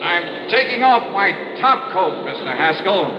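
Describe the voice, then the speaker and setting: A shrewd, wry insurance investigator in a vintage radio drama, drawling with casual fillers. His voice sounds roomy, and the muffled old broadcast carries clear hiss. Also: sits high, very animated, measured pace. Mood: confronting. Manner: easygoing, drawling, with hesitant fillers. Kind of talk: 1950s radio drama acting and narration.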